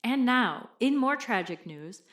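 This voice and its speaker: A woman's voice: on the high side, lively intonation, a little slow, fairly bright tone. A woman playing a composed television news anchor in an audio drama, delivering a formal bulletin. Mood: composed, grave.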